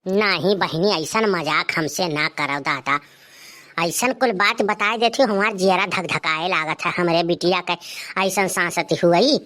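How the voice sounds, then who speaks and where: A woman's voice: on the low side, unhurried, a bit bright. A woman as a blunt, scolding village mother. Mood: doubtful, worried. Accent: Awadhi. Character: scolding, protective village mother, the elder sister. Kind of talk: cartoon comedy dialogue.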